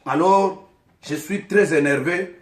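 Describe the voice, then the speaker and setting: Congolese man going off on a fiery rant to camera, kinda high voice, boomy echoey room. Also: slow pace. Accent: Congolese French. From Kasaï, DR Congo. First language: Tshiluba. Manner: fiery, accusatory, calls out names directly. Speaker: vlogger addressing his community. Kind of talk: impassioned political address to camera.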